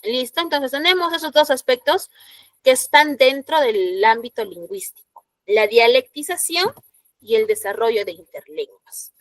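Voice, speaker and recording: Peruvian woman teacher, higher voice. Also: lively intonation, moderate pace, no room sound. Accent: Peruvian Spanish. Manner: explanatory, frequent "¿no?" checks, rhetorical questions to students. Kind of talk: classroom lecture.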